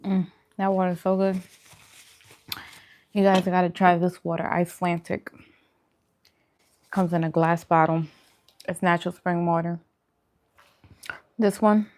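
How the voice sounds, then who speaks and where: A woman's voice: fairly low pitch, a little hiss or hum. A woman hosting a mukbang, chatting casually to viewers between bites with murmured reactions. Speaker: mukbang host. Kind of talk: casual eating chat to viewers.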